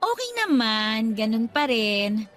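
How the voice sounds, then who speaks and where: A woman's voice: a little high, big pitch swings, slow, fairly bright tone, faint music behind, exaggerated voice. An actress playing an insistent, dramatic grown daughter nagging her mother in a Filipino radio drama. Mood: friendly, coaxing.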